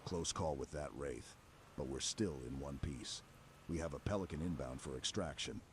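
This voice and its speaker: Man voicing a very deep, stoic super-soldier. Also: normal speed. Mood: calm, reassuring. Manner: steady, clipped, reassuring, military. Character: stoic, protective armored male super-soldier, calm commander. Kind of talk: ASMR roleplay, scripted dramatic monologue. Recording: clear background ambience noise.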